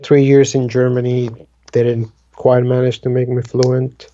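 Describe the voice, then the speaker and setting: A man's voice: medium pitch, monotone, measured pace, dark tone, highs cut off. A man in his twenties from the Dominican Republic, chatting casually and rambling with many fillers like "like" and "um". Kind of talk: casual conversation.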